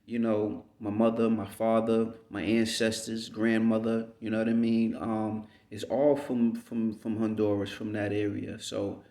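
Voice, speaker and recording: A man from the United States giving an unhurried, rambling reflective monologue as a vlogger, often checking in with "you know what I mean.". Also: lowish voice, monotone, mellow. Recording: roomy echo.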